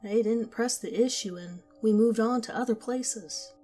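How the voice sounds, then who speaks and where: A woman reading a first-person horror story aloud, in a quiet, measured storytelling voice. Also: a little high, soft background music. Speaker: narrator. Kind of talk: scripted horror story narration.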